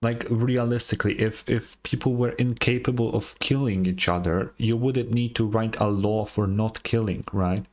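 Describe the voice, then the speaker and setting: A man's voice: lowish voice, fairly even intonation, moderate pace, hardly any pauses, a little mellow. A tired man giving casual game reaction commentary as a streamer, musing and thinking aloud. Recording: game music behind the voice.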